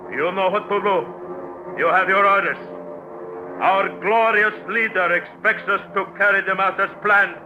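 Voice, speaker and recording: An actor playing a fanatical male general giving orders, declaiming in an old radio drama. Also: sits high. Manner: commanding, declamatory. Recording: hissy old radio recording.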